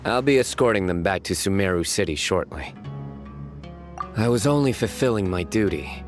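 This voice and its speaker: A stern lawman in a video game. His voice is low and solemn, formal and deadpan even with a joke, with music clearly playing behind him. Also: normal speed. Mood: calm, formal. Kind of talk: English video game voice acting.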